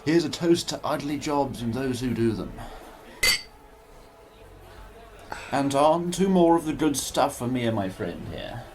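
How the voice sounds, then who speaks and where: A man playing a smooth, scheming politician in a radio drama, speaking in a pompous, florid and formal way. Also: mid-range voice, expressive, moderate pace, heavy background noise, close to an everyday voice. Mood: falsely cordial. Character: smooth, scheming male politician villain, falsely cordial.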